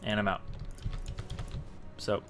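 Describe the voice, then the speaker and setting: A man's voice: takes it slowly, a bit bright, a little hiss or hum. A young man giving casual, explanatory gameplay commentary, thinking aloud as he plays. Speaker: gamer making a strategy guide. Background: faint game music and sounds.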